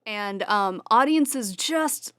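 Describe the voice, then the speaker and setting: A woman's voice: medium pitch, animated, extremely slow, fairly bright tone, no room sound, clean studio sound. A woman who works in theater, speaking informally and frankly in a casual group interview. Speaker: theater stage manager and Foley artist.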